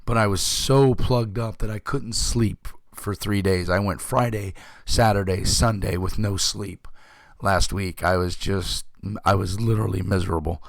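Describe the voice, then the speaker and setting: A man, hoarse and rough-voiced as he recovers from illness, chatting casually to his channel and rambling with many fillers and throat clearing. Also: low-pitched, fairly even intonation, unhurried, no room sound. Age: 50s. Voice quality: rough, gravelly voice. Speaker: tabletop game channel host. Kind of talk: casual chat to camera.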